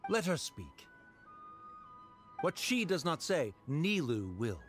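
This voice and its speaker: A man playing a haughty, disdainful middle-aged scholar father in a video game, speaking in a pompous, measured, formal way. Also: fairly high pitch, very animated, faint music behind. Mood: commanding.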